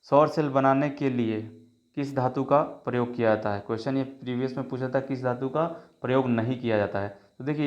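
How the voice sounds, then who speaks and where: A man with a sore throat runs through multiple choice exam questions, reading each option aloud before settling on the answer. His voice sits in a middle range. The recording is muffled and lo-fi. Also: medium pitch. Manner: reads options then gives the answer, many filler checks. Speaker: teacher. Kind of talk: quiz-style exam prep lesson.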